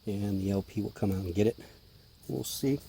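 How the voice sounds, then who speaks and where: A man with a hoarse voice, clearing his throat as he narrates a casual hobby vlog while feeding his tarantulas indoors, chatty and offhand, sometimes dropping to a hush. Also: fairly low pitch, fairly quick, slight background noise. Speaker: tarantula keeper filming feedings.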